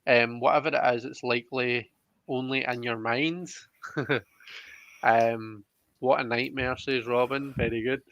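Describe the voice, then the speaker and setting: A man's voice: mid-range voice, fairly even intonation, a little slow, fairly bright tone, no echo. A man chatting casually as the host of a live tarot reading, over a slightly muffled online call. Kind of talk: casual chat, reading viewer comments aloud.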